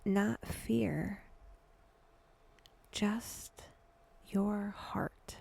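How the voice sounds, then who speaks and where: A woman guiding a meditation in a hushed voice that drifts toward a whisper, speaking deliberately in short phrases with long pauses. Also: mid-range voice, gravelly, husky. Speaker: guided meditation host. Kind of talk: slow, breathy guided meditation narration.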